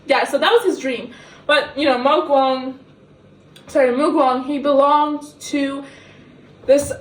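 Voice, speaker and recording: A young woman from the Middle East who is not a native English speaker. She excitedly rambles through a book review, laughing often and mocking herself. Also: sits high, slow pace. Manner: giggly, excitable, self-mocking. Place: kitchen. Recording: echoey kitchen, camera at a distance.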